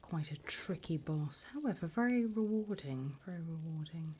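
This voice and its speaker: A woman's voice: deep voice, expressive, dark tone. Whispering demon recruiter played by a woman. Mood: businesslike, wicked relish. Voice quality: breathy whisper, mostly unvoiced. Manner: unhurried, polite, dryly businesslike about torment. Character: female demon recruiter, politely bureaucratic, wickedly encouraging. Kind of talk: whispered ASMR roleplay.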